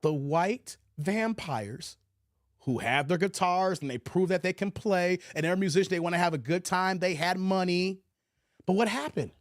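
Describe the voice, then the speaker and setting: A man's voice: high voice, moderate pace, dry recording, studio quality. An American man giving opinionated livestream commentary, emphatic, repeating his points and posing rhetorical questions. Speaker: commentator hosting his own channel.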